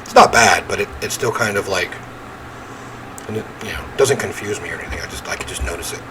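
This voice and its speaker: A man reading visual novel narration aloud in an expressive storytelling way, with casual commentary. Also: on the low side, fast, background noise. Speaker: video game let's player.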